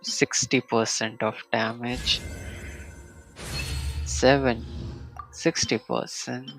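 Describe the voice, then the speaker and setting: A man casually commentating a solo game live stream, muttering to himself and reading item text aloud in a halting way. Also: mid-range voice, gravelly, low-quality recording.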